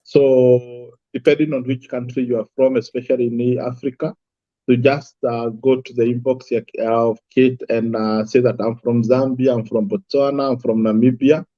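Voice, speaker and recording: A man's voice: mid-range voice, flat delivery, normal speed, no room sound, muffled recording. A man from Kenya, a guest from an apple farm, talking easily and repeating his words. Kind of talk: casual interview talk.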